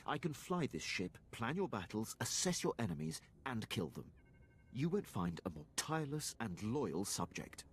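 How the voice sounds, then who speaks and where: A man's voice: mid-range voice, very animated, normal speed, slight background noise. An actor playing an earnest, loyal Imperial officer in a video game, formal and precise in his deference. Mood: proud, devoted. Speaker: game character, military officer.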